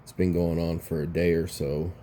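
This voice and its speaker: A man's voice: low-pitched, level tone, moderate pace, mellow, a little hiss or hum. A man hosting a casual commentary vlog, sounding congested and rambling, often restarting his phrases. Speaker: YouTube commentary host.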